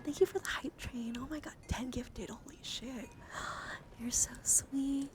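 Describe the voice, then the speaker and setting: A woman chatting softly to livestream viewers in ASMR style, breathy and often whispering, with a gentle, soothing, intimate manner close to the mic. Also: high voice, unhurried. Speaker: live streamer hosting ASMR. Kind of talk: ASMR, casual chat with viewers.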